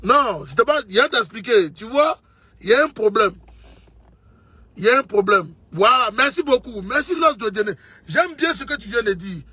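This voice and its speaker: A man's voice: very high voice, animated, moderate pace, sharp tone, slightly rough, no room sound. A man from Côte d'Ivoire, giving an impassioned political monologue, emphatic and repeating his points to the listener as a brother. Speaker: political commentator.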